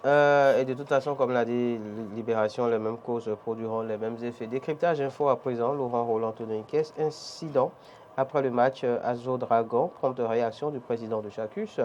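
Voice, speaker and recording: A man's voice: medium pitch, fairly even intonation, darkish tone, highs cut off, a little hiss or hum. A man with a Beninese French accent hosting a morning TV press review, reading headlines and prompting his guest. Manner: brisk presenter, reads headlines, frequent mhm. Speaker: host.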